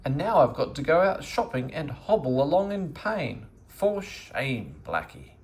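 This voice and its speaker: Man as grumbling old woman, storybook character, bossy, scolding, raised pitch, swooping intonation. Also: big pitch swings, unhurried. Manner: querulous, bossy complaining. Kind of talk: children's storybook read-aloud.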